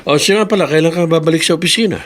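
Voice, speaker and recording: An actor playing a kind, devout boss who reassures and encourages others in a Filipino radio drama. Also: male voice, on the high side, very fast, a bit bright, slight background noise, lightly acted. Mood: jovial, encouraging.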